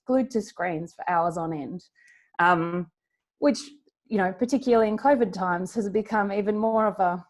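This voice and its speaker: A woman explaining her business conversationally as a panel guest. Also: medium pitch. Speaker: startup founder, panel guest.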